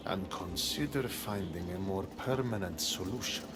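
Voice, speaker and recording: A man's voice: deep voice, normal speed, lightly acted. An actor playing an imperious, disdainful male god of the underworld in a video game, commanding and weary. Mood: commanding, displeased. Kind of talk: video game cutscene acting. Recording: music behind.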